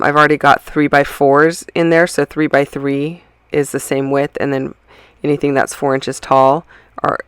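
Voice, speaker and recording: A woman's voice: a bass voice, vocal fry, no room sound. A woman talks over her own craft footage in a loose, rambling voice-over, full of ums and restarts. She is still getting over a cold and sounds a little sniffly and stuffy. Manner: rambling, chatty, many ums and self-corrections. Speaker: scrapbooking channel host. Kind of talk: casual process-video voice-over.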